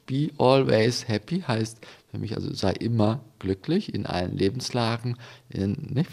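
A man's voice: on the low side, vocal fry, darkish tone. A man giving an informal spiritual reflection as a guest, explaining step by step and often checking for agreement with small tag questions. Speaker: interview guest, spiritual devotee.

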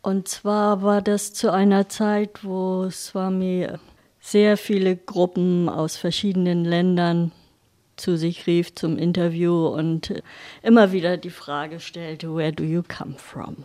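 A woman being interviewed about her spiritual life, telling a personal recollection in measured, deliberate phrases. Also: lowish voice, flat delivery, dark tone. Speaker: interview guest, spiritual devotee.

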